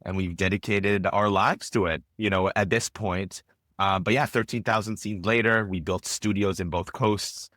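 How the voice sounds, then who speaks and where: A man's voice: lowish voice, steady tone, normal speed, a bit bright, dry recording, clean studio sound. A man, chatting as a podcast guest and rambling with many fillers and restarts. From Israel. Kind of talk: casual interview chat.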